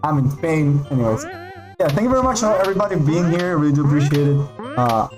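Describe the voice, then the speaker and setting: A man from Peru whose first language is Spanish, chatting casually and excitably while streaming a game. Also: on the high side. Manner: rambling, excitable, reads out names with pauses. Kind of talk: casual livestream chat while gaming. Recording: faint background music.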